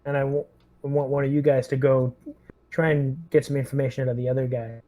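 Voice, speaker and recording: A man with a dark, mellow voice at an average pitch takes part in a tabletop roleplaying game. His delivery is casual player chat. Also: little pitch movement, quick pace.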